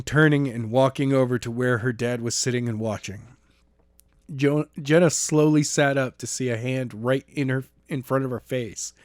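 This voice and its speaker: A man's voice: mid-range voice, no echo, studio quality. A man who is a podcast co-host and amateur narrator, speaking in an informal, unpolished way. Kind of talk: casual banter and novel read-aloud.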